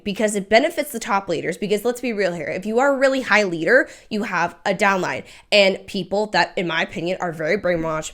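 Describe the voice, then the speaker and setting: A young woman host with a hoarse voice after illness, talking in blunt, chatty, critical commentary. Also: medium pitch, a bit bright. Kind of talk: fast casual commentary to camera.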